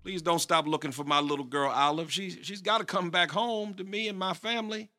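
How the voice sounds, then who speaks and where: A man's voice: high-pitched, expressive, moderate pace, pushed and bright, heavily acted. An actor playing a stern, easily riled middle-aged pastor and father who scolds in an exasperated, blustering way. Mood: pleading.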